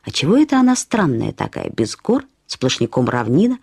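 Actress as an exuberant, high-swooping flighty young woman. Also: very animated, fairly quick, vocal fry, warm tone, slightly rough, dry recording, studio quality, heavily acted. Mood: cheeky, bossy. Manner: gushing, playful, singsong.

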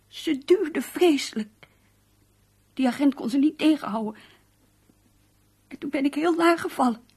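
A shaken, fretful wife in a radio drama. Her voice is high and fearful, pleading with the man she loves. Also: darkish tone. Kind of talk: Dutch radio play acting.

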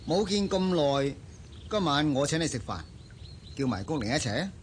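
An actor playing a breezy rich bachelor with a knowing, insistent manner in a Hong Kong Cantonese radio drama. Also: male voice, a little high, very animated, moderate pace, slight background noise. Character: breezy, pushy rich bachelor and old friend.

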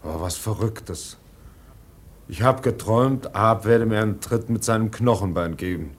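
A man acts a proud whaling ship's mate in a radio play. The voice is husky and fairly low. He speaks bluntly and earnestly, with plain emphasis. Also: normal speed, lightly acted. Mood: bewildered, confiding. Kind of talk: German radio drama acting.

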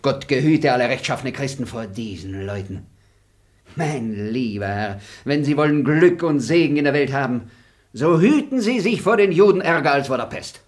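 A man's voice: mid-range voice, lively intonation, normal speed, lightly acted. An actor voicing a sly, fawning and bigoted male estate bailiff in a radio play, talking in a wheedling, theatrical, giggly way. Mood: zealous. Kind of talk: German radio play acting.

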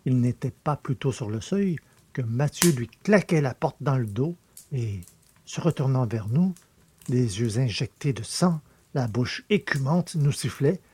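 A man reading scripted literary narration as an audiobook narrator, with a mellow, slightly husky voice. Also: mid-range voice, lively intonation, unhurried, slight background noise.

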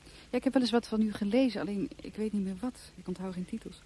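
A woman's voice: mid-range voice, quick pace, dark tone, a little hiss or hum, close to an everyday voice. An actress playing a forthright, nonchalant woman from a country house in a radio drama, speaking in a direct, dryly offhand way. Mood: nonchalant, inviting.

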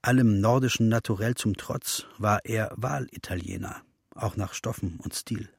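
A man playing a sober, sardonic chronicler, narrating in a measured, precise documentary style for a radio drama. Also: lowish voice, vocal fry, slightly rough, no echo, studio quality. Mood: matter-of-fact.

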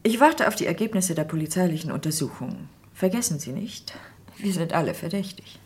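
Cool, husky low-voiced widow. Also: female voice, lively intonation, brisk, a little mellow. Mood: guarded, firm. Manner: cool, controlled, curt. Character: composed, cool widow of a murdered surgeon. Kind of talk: German crime radio play acting.

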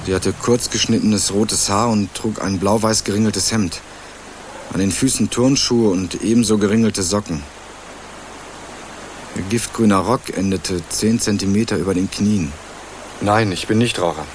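Actor as a low-voiced laconic young man. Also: moderate pace, a little hiss or hum, close to an everyday voice. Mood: laconic, casual. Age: young adult. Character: young male student from Berlin, laconic and guarded. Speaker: narrator and lead actor. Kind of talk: first-person radio crime drama narration and dialogue.

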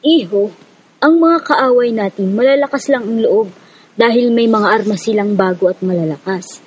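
A woman with a Filipino accent playing a kindly, determined guerrilla comrade with a slightly husky voice in a radio drama. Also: a little high, normal speed, rough recording. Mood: resolute.

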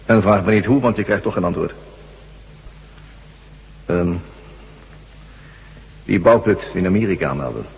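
An actor playing a mysterious, commanding armed stranger in a radio drama, terse, evasive and coolly menacing. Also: male voice, low-pitched, lively intonation, unhurried, vocal fry, long pauses, husky, highs cut off, heavily acted. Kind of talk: Dutch radio drama acting. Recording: hum and noise, long reverb.

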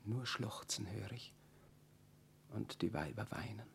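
An actor playing a haunted, horrified lord in a hushed, halting radio drama monologue. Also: male voice, on the low side, steady tone, vocal fry, a little hiss or hum, exaggerated voice. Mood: hushed horror.

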